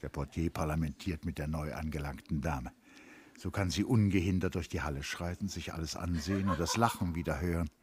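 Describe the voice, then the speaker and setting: An actor as a grave, brooding narrator of a literary radio play, telling it in the present tense. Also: male voice, a bass voice, brisk, a little hiss or hum. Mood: measured. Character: grave, brooding male narrator of a woman's inner turmoil.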